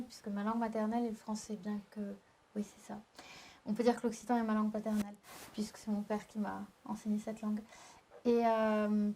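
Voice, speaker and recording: A young adult woman from southern France, a poet speaking at a live round-table discussion in a thoughtful, halting way with frequent restarts. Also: on the high side, dark tone. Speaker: poet and panel guest. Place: live public venue.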